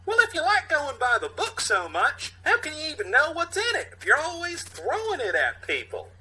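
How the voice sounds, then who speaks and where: A man's voice: very high voice, huge pitch swings, normal speed, sharp tone, pitched above the performer's own voice, heavily acted. A man voicing a challenging, squabbling buddy cop in a mock cop show, with exaggerated delivery. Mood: indignant. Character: squabbling male buddy cops, one by-the-book, one stern hothead.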